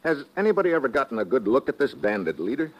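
An actor playing a tough, confident American government agent in a 1940s radio drama, blunt and wisecracking. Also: male voice, medium pitch, big pitch swings, fast, slight background noise, poor audio. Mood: firm.

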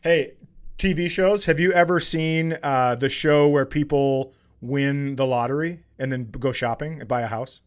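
A man's voice: medium pitch, fairly even intonation, moderate pace. A man bantering casually as a comedy podcast co-host, with a teasing, goading way of talking.

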